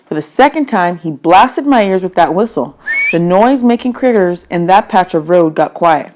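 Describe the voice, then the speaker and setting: A woman's voice: lowish voice, expressive, normal speed, noisy background. A woman narrating a children's novel in the first person, telling it expressively with stressed phrases. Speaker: read-aloud narrator.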